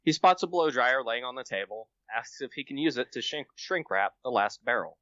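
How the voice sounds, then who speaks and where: A man reads his own comic story aloud on a podcast. His voice is bright and sharp, recorded very dry and close, with a slightly lo-fi, dulled edge. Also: medium pitch, expressive, brisk, no echo. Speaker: podcast guest, amateur writer.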